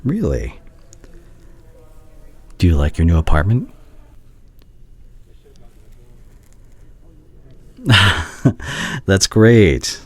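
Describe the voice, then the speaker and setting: A man playing a devoted, regretful doctor and anxious ex-boyfriend in an intimate ASMR audio roleplay, speaking haltingly and tenderly. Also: low-pitched, wildly swooping pitch, a little mellow, exaggerated voice.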